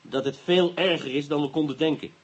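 An actor playing a brisk, wry police inspector with a Dutch accent in a radio drama. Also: male voice, a little high, fairly even intonation, husky. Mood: businesslike. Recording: dull, noisy radio play recording.

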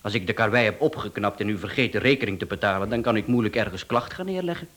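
A professional male hitman in a radio drama. His voice is a little husky and swings widely in pitch. He is businesslike and formal, proud of his trade and quick to take offence. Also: expressive, a bit bright. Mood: businesslike, insistent. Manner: formal, smooth-talking salesman patter, indignant. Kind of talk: radio play acting.

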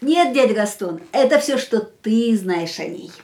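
A woman playing a willful, carefree and fatalistic young woman in a radio play, with expressive theatrical delivery. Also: a little high, unhurried. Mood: defiant.

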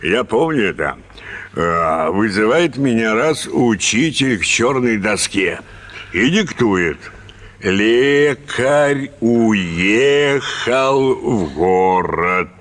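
A man's voice: fairly low pitch, lively intonation, very slow, fairly bright tone, full character voice. An actor in a radio play voicing a grumbling, opinionated provincial man who rants with indignant emphasis. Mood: aggrieved reminiscing. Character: grumbling, opinionated provincial man railing against punctuation and spelling. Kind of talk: theatrical radio play acting of a classic short story.

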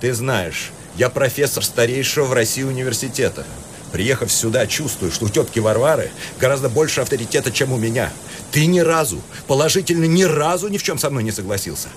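An actor playing a cultured, exasperated university professor in a radio play, lecturing in an articulate, indignant way. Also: male voice, medium pitch, animated, fairly quick, a little hiss or hum, close to an everyday voice. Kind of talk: Russian radio play acting.

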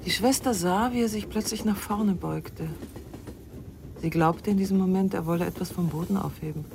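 An actress in a German radio drama playing a composed, probing middle-aged wife with a measured, pointed way of talking. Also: female voice, on the low side, creaky, background noise. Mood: composed, somber.

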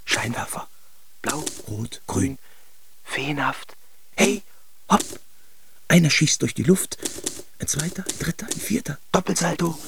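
An excited circus onlooker in a literary radio play. He speaks in staccato bursts that can sink toward a whisper, with a slightly high, creaky voice. There is clear noise behind him. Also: measured pace, pauses often, full character voice. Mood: breathless wonder. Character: excited male onlooker calling out a circus acrobatic act. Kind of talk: literary radio play recitation, clipped fragments.

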